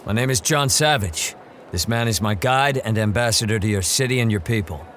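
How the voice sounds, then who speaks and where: An actor playing a bold, wry wandering monster hunter in an audio drama, dry and self-assured. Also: male voice, lowish voice, brisk, fairly bright tone, a little hiss or hum, close to an everyday voice. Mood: firm.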